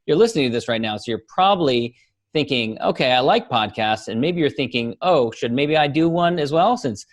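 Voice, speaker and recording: A man speaks as a podcast host at an average male pitch. His delivery is conversational and presentational, suited to introductions and interviews. The sound is dry, with very little room in it. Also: animated, a little fast, no echo.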